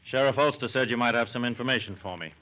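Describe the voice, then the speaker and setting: An actor playing a sober, methodical, businesslike FBI agent in an old-time radio drama. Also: male voice, mid-range voice, fast talker, bright, forceful tone, natural voice. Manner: businesslike, clipped, authoritative. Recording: muffled, slightly noisy old radio recording.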